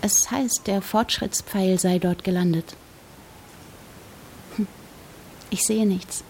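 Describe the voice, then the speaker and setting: An actress playing a contemplative, sceptical woman gazing at the moon in a literary radio monologue, speaking in a measured, musing way. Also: medium pitch, steady tone, a little mellow, a little hiss or hum, rough recording. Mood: quietly musing.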